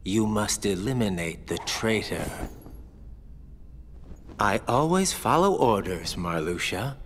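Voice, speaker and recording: A cocky, sly male villain from a video game. The delivery is sardonic and lively, sliding up and down as it teases. The voice rings with reverb over music. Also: medium pitch. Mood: menacing. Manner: sardonic, teasing, drawn-out phrasing. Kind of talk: video game cutscene acting. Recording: long reverb, music behind.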